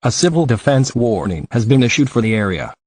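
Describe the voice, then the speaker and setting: Male alert-system announcer, medium pitch, stiff synthetic formal read, dry sound. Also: sing-song, brisk, darkish tone, husky, dry recording. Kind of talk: scripted emergency broadcast announcement.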